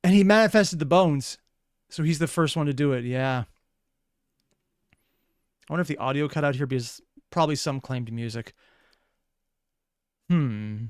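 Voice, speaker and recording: A man's voice: a little high, normal speed, long pauses, clean tone, no echo, clean studio sound. A man hosting a reaction video, commenting casually in a rambling, analytical way.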